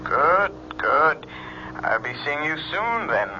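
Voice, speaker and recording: An actor playing a menacing extortionist in a radio drama, speaking with slow, insinuating repetition. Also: male voice, fairly high pitch, big pitch swings. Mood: soft threat. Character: menacing fortune teller extorting money, coldly insinuating. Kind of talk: 1970s radio drama acting. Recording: muffled, noisy old radio broadcast.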